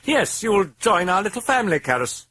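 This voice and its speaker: A man's voice: sits high, sing-song, normal speed, pushed and bright, breathy edge, no echo, clean studio sound, voice pushed up, exaggerated voice. A man voicing a sardonic, mocking demon that speaks through a possessed girl, sneering and theatrical.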